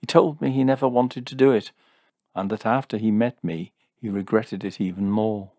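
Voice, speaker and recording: A man voicing a calm, gently reproving young woman with teasing wit in an audiobook, soft-spoken and matter-of-fact. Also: lowish voice, moderate pace, dry recording, clean studio sound, voice pushed up, natural voice. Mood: calm, knowing.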